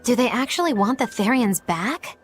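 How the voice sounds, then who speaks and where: A woman's voice: a little high, lively intonation, moderate pace, natural voice. A woman playing a sly, sing-song witch. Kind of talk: game dialogue dub. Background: game score.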